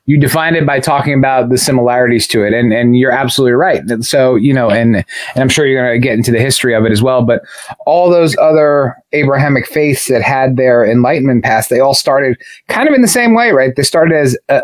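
A man's voice: mid-range voice, brisk, no echo. A man co-hosting a casual discussion, talking in a discursive way and often checking in with his listeners. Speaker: podcast co-host.

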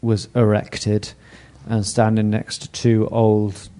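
A man's voice: lowish voice, completely flat delivery, slow pace, dark tone, smooth. A man from England hosting a casual football chat, leading new topics and laughing as he tells stories. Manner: chatty, laughs while telling stories.

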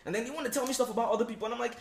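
A man's voice: very high-pitched, racing, vocal fry, slight background noise. A man from Nigeria with a Nigerian accent, ranting emphatically in casual chat and firing off rhetorical questions. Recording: roomy echoey room.

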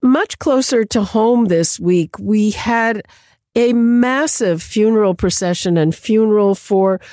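A woman radio host, asking measured, deliberate interview questions. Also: mid-range voice, mellow, dry recording, studio quality.

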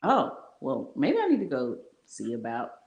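A woman's voice: rumbling low, wildly swooping pitch, moderate pace, gravelly, darkish tone, lo-fi sound. A woman co-hosting a casual chat about a TV show, playful and slangy, laughing often. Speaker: podcast co-host.

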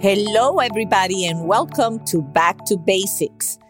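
A woman's voice: medium pitch, expressive, normal speed, soft background music. A woman hosting a podcast interview, enthusiastic and chatty, with many fillers. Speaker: podcast host.

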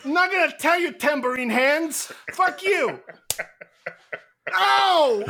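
A woman's voice: fairly high pitch, huge pitch swings, quick pace, fairly bright tone, voice pushed up. A woman voicing a crude, hot-tempered teenage girl who hurls cursing insults. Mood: furious.

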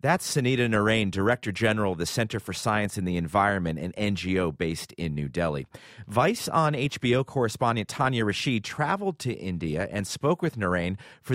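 A male news host with a fairly low voice introduces a segment and presses his guest with probing questions. The sound is dry and close to the mic. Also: animated, moderate pace, a bit bright, no room sound. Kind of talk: news interview.

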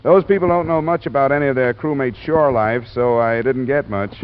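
A man's voice: mid-range voice, a little fast, slight background noise, natural voice. An American actor playing a cool, hard-boiled male investigator in a 1950s radio drama, clipped and matter-of-fact. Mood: businesslike. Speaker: lead actor and narrator. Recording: dull vintage radio recording, music behind.

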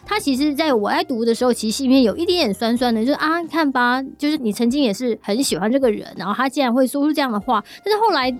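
Fast-talking high-voiced Taiwanese Mandarin woman. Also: lively intonation, faint music behind. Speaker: podcast host. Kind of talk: casual podcast chat.

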